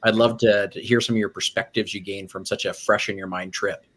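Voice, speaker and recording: A man's voice: on the low side, flat delivery, brisk, a bit bright. A man co-hosting a podcast, asking friendly, rambling questions with restarts. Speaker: podcast co-host. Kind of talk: conversational podcast interview.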